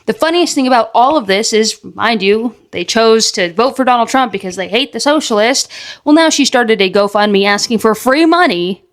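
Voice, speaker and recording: A woman's voice: on the high side, animated, moderate pace. A woman giving casual, mocking social media commentary to camera.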